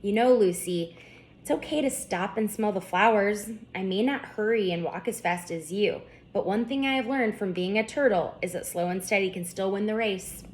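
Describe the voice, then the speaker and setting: A woman voicing a lively, wise male pet turtle in a storybook, gently encouraging and full of wonder. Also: mid-range voice, moderate pace, slight background noise, lightly acted, low-quality recording. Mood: wise, calm.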